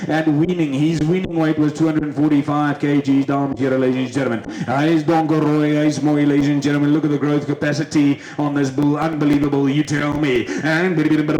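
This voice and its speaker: A South African man calls a livestock sale in a fast, rhythmic chant that hardly rises or falls in tone. His voice sits a little high for a man, and the echo makes the room sound large. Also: darkish tone. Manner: rapid rhythmic chanting, switching between English and Afrikaans. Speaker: livestock auctioneer. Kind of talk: auction bid chant and lot commentary.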